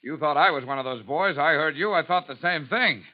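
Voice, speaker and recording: An actor playing a loyal, good-humored fire lieutenant in a 1950s radio drama. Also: male voice, a little high, a little fast, lightly acted. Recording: dull old radio recording.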